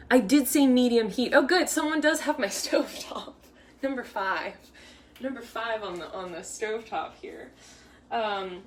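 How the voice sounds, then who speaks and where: Young woman baker chatting thru a live bake-along, lots of tangents, sounds far off in an echoey kitchen. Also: medium pitch. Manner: chatty, rambling, with many restarts and asides. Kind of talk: casual live cooking demo. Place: home kitchen. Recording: echoey kitchen, mic far off, faint hum.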